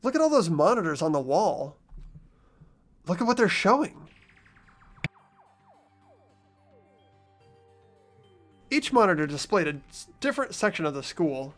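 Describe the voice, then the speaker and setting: A man's voice: high-pitched, big pitch swings, brisk, fairly bright tone. A man voicing an earnest, anxious teenage boy who narrates a mystery in an amateur game dub. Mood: uneasy. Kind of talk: amateur voice acting of a video game.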